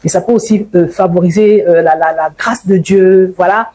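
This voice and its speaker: Mellow, slightly husky woman sharing faith testimony. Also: fairly low pitch, fairly even intonation, moderate pace. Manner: emphatic, repeats words and phrases for stress. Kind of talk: informal religious testimony and exhortation.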